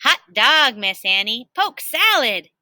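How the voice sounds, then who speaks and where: A woman voicing an eager, friendly small-town man from a storybook, chirping and trumpeting with excitement. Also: a little high, takes it slowly, no room sound, studio quality, pitched above the performer's own voice, heavily acted. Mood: excited. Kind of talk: storybook character voice.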